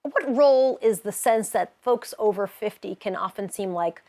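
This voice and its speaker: A woman's voice: medium pitch, moderate pace, mellow. A woman interviewer hosting a broadcast panel, putting questions to guests. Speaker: interviewer, host.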